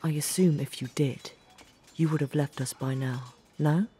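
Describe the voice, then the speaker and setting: A woman playing a stern but caring commander of a knights' academy, speaking formally and with measured authority in a fantasy audio drama. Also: low-pitched, dark tone, close to an everyday voice. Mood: composed, commanding. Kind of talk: scripted fantasy audio roleplay.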